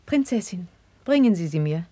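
A woman playing a gentle, dignified young queen in 1950s classical radio drama, with measured, formal stage diction. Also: fairly low pitch, big pitch swings, dry recording. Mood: gracious, guarded. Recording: dry 1959 radio drama recording.

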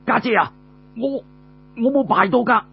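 A man's voice: very high voice, animated, normal speed, gravelly, slightly rough, lo-fi sound, voice pushed up, heavily acted. A man voicing an eager young male warrior in an audiobook tale, exclaiming and stammering as he pleads. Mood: protesting.

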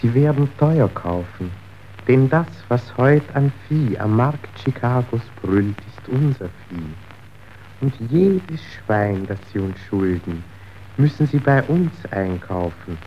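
Cold cynical businessman, mid-pitched, pointed theatrical delivery, dull radio-play sound with faint noise. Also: animated, slow pace. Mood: cynical, assured. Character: cynical, worldly businessman, coolly demonstrating human wickedness. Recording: dull, noisy old radio recording.

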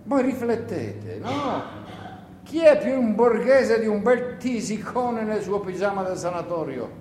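Man acting a sardonic, high-voiced partisan grumbler. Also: sing-song, unhurried, a little mellow. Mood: sardonic teasing. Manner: rambling, mock-rhetorical, needling listeners with questions. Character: sardonic, grumbling partisan fighter, weary and teasing. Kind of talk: theatrical radio play monologue. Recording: roomy echo with faint noise.

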